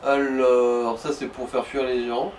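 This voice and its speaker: A man's voice: mid-range voice, flat delivery, a little slow, recorded from a distance. A man talks a player through a game in casual chat. His explanations come out in hesitant pieces. He sounds set back from the microphone, over faint background noise. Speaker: advisor to the player in a let's play.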